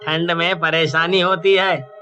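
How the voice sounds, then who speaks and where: A plaintive factory worker, voiced by a man in a horror cartoon, chats in a high, bright voice. The pace is a little slow, with light music behind. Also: level tone, highs cut off. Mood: agreeable. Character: weary, grumbling male factory worker, chilly. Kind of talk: Hindi cartoon voice acting.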